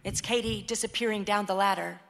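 An actress as the composed narrator of a radio play, reading scripted stage directions. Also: female voice, mid-range voice, steady tone, fairly quick, vocal fry, noisy background, close to an everyday voice. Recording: long hall reverb.

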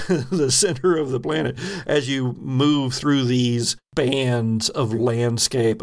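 A man improvising narration as a tabletop game master, halting as he searches for words. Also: medium pitch.

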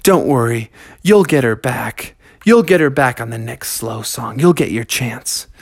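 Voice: medium pitch, expressive, unhurried, vocal fry, no echo, clean studio sound, pitched below the performer's own voice. A storyteller voicing a group of young male skaters, consoling their friend in a soothing way.

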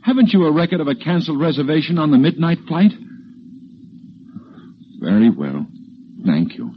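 Desperate college professor, halting speech, swooping intonation, muffled hissy vintage radio recording. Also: male voice, fairly high pitch, big pitch swings. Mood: urgent, probing. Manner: halting, stammering under pressure. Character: anxious, desperate male college professor, framed and weary. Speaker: actor in a radio mystery. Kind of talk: 1940s radio drama acting.

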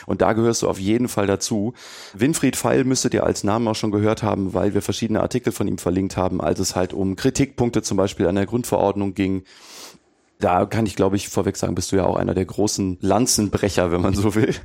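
A man hosting a podcast in a relaxed, chatty way, with fillers and easy asides. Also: deep voice, brisk, creaky, dry recording, studio quality. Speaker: podcast host. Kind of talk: casual podcast conversation.

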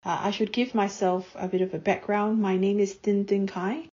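A woman's voice: medium pitch, little pitch movement, normal speed, warm tone, lo-fi sound. A woman from Myanmar with a Burmese accent, a native Burmese speaker, explaining her work as a guest poet. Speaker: guest poet and visual artist. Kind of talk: explanatory talk introducing own work.

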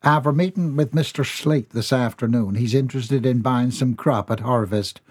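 A man's voice: medium pitch, moderate pace, slightly rough, lightly acted. A man voicing a blunt, bossy middle-aged tobacco farmer and father.

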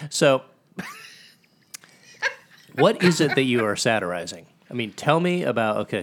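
A man's voice: on the low side, fairly bright tone. A man co-hosting a casual podcast chat in a wry, joking manner. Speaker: podcast co-host.

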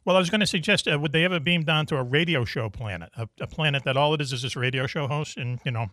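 A man with a Boston accent hosting a radio show, bantering casually, jokey and quick to jump in. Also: fairly high pitch, sharp tone, dry recording. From Boston area. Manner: jokey, interrupting, steering the talk. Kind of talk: casual banter and interviewing guests.

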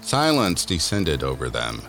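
A man's voice: a bass voice, wildly swooping pitch, unhurried, fairly bright tone, faint music behind. A man narrating a scripted horror audio drama as a storyteller.

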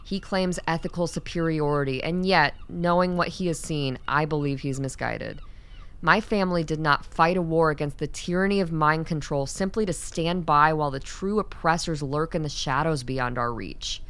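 A low-pitched actress playing a zealous woman scientist in a radio play. She is stern and authoritative, and speaks in deliberate, formal phrases with great earnestness. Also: a bit bright, clean tone. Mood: resolute, commanding.

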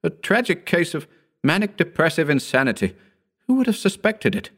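Man acting a haughty horror writer, pitch leaping dramatically. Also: big pitch swings, moderate pace, fairly bright tone. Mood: dismissive. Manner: theatrical, declamatory, pompous. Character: vain, scornful male horror writer, excitable and haughty. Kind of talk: dramatic audiobook character reading.

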